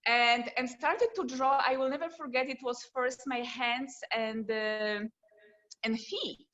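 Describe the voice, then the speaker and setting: Woman, Polish accent, bright forceful voice, muffled call audio, non-native phrasing. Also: on the high side, measured pace. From Poland. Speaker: guest artist, sculptor. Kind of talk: casual interview over video call. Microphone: video call. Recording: dull lo-fi video call audio.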